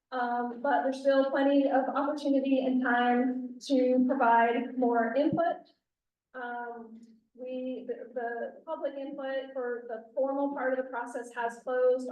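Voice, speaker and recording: Lady from the planning office giving a talk at a community meeting, kinda high voice, echoey room, mic far off. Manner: frequent self-corrections and restarts; says she has a loud outside voice. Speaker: county planning staff presenter. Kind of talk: public meeting presentation.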